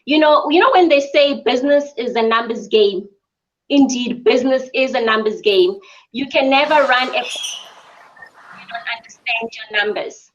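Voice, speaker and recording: High-voiced woman, earnest and measured. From South Africa. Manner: measured, earnest, with frequent ums. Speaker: spa business owner. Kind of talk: interview answers. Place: her mother's home. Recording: dull lo-fi audio.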